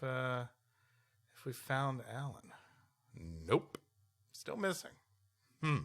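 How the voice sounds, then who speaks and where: Man, forceful bright tone, lively melody, podcast co-host, reading aloud and joking, wry. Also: mid-range voice. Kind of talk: reading a history story aloud with joking banter.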